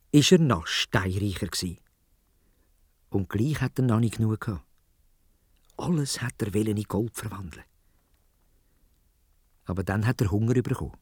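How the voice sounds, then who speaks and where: A man with a Swiss German accent telling a children's story in a dramatic, expressive way, speaking directly to young listeners. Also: medium pitch, slightly rough, dry recording, studio quality. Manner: dramatic, addresses young listeners with questions and exclamations.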